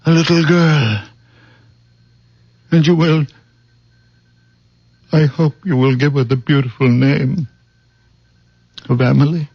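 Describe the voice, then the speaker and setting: Very old father, slow halting delivery, fairly high voice, weary, solemn, dry muffled radio drama sound. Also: steady tone, darkish tone, breathy edge, dry recording, heavily acted. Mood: tender, solemn. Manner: halting, solemn, short simple phrases. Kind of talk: 1970s radio drama acting.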